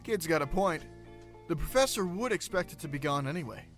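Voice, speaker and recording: A man's voice: on the high side, animated, brisk, a bit bright, faint music behind. A man playing a mischievous, laid-back male student in an audio drama, joking in casual slang.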